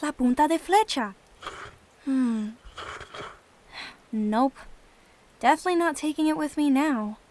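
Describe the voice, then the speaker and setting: An actress playing a practical, quick-witted young girl adventurer in an audio drama, matter-of-fact and teasing. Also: high-pitched, animated, a little mellow. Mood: focused.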